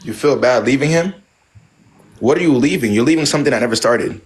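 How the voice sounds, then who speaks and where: A man in lectures his live stream audience on dating, blunt and preachy. He repeats himself for emphasis and swears freely. His voice sits in an ordinary middle pitch. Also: expressive, a little fast. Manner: blunt, repetitive, preachy and profane. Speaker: live stream host giving dating advice. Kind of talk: casual live stream advice.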